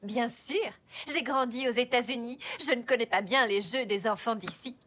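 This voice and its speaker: A woman's voice: on the high side, normal speed, fairly bright tone. A woman voicing an overeager, naive student babysitter in a children's cartoon, with a bubbly, gushing, earnest manner. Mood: cheerful, eager.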